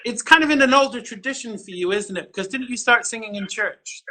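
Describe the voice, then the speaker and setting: High, bright-voiced chatty male host. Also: a little fast, a little hiss or hum. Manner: effusive, chatty, lots of fillers and restarts, fan enthusiasm. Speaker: show host. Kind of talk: casual livestream interview.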